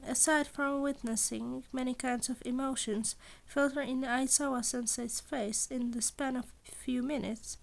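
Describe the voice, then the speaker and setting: A woman's voice: high-pitched, steady tone, moderate pace, vocal fry, hardly any pauses. A woman reading a story aloud as an audiobook narrator.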